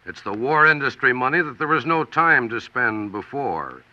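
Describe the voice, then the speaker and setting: A man's voice: medium pitch, animated, moderate pace, sharp tone. An American man reading scripted 1940s radio documentary narration in a punchy, dramatic manner. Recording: dull vintage 1940s radio broadcast.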